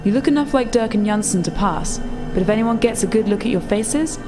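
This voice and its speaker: Brisk commanding undercover rebel played by a woman, music behind. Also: on the high side, lightly acted. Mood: guarded, instructing. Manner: terse, commanding. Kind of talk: in-character tabletop roleplay.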